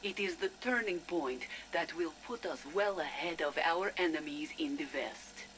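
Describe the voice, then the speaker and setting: A woman's voice: sits low, normal speed, noisy background, lightly acted. A woman playing a proud, zealous Soviet scientist who records a formal, declamatory log for a game. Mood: resolute. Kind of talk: dramatic in-game audio log monologue.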